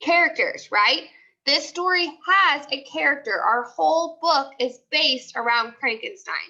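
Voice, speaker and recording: A woman's voice: high-pitched, lively intonation, unhurried, sharp tone, lo-fi sound. A woman teacher leading a live online class discussion, prompting with repeated questions and short commands.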